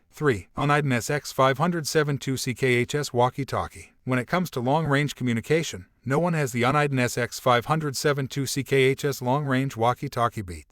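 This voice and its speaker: A man's voice: mid-range voice, no echo. Man narrating a product review, dry studio sound.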